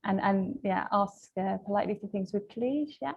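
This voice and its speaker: A woman presenting a webinar lesson as a language trainer, explaining points in a polite, measured, semi-scripted way. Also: mid-range voice, vocal fry, dull audio, a little hiss or hum.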